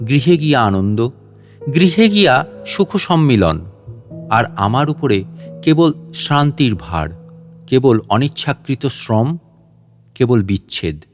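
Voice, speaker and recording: A man narrating a classic literary story in a slow, solemn, reflective reading voice. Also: medium pitch, sing-song. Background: soft guitar music.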